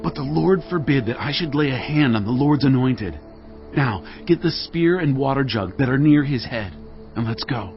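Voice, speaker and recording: An actor playing a bold, earnest, righteous warrior leader in a dramatized audio Bible, with emphatic, declamatory delivery. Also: male voice, mid-range voice, lively intonation, normal speed, muffled recording, soft background music, close to an everyday voice. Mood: firm restraint.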